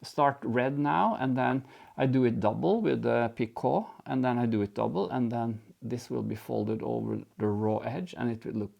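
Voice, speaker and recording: A man's voice: mid-range voice, normal speed, creaky, lo-fi sound. A man co-hosting a knitting show, chatting enthusiastically and laughing easily as he explains his work. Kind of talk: casual chat and knitting explanation.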